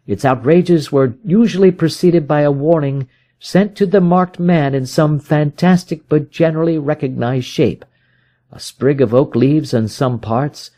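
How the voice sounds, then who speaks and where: A man's voice: a little high, warm tone. A man from Arizona with an American accent, reading a scripted story aloud as an audiobook narrator. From Arizona, United States. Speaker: audiobook reader.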